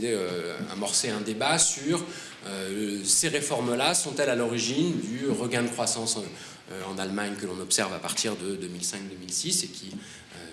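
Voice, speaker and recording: A man's voice: lowish voice, fairly bright tone. A man presenting his co-authored book at an award ceremony panel, speaking hesitantly with many fillers and restarts. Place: conference hall. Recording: roomy hall with faint noise.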